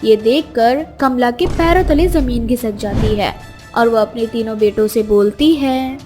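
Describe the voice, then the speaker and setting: A woman tells a moral story as a scripted narrator. Her pitch is high, and music plays beneath the voice. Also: measured pace.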